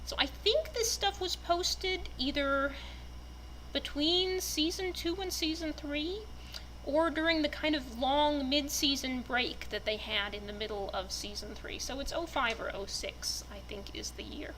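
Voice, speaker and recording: Woman, high bright voice, expressive fan narrator, enthusiastic delivery, faint background noise. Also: a little slow, low-quality recording. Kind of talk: dramatic reading and casual fan commentary.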